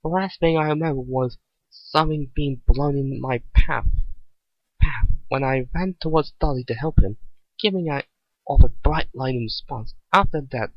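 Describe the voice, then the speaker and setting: A man's voice: mid-range voice, normal speed, no room sound, highs cut off, natural voice. A man voicing an anxious female cat fighter with memory loss, hesitant and straining to recall, in a story reading. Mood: frustrated.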